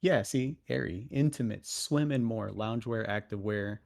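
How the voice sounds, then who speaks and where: A man's voice: on the low side, normal speed, vocal fry, darkish tone. A young American man co-hosting a podcast, chatting casually and telling loose stories with plenty of swearing. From United States. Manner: loose storyteller, swears freely. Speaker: podcast co-host. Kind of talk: casual chat.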